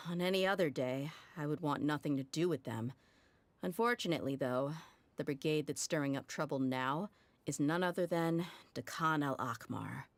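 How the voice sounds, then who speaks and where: An actress playing a tough, blunt mercenary leader in a game cutscene, frank and guarded with casual slang. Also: female voice, low-pitched, normal speed, dry recording.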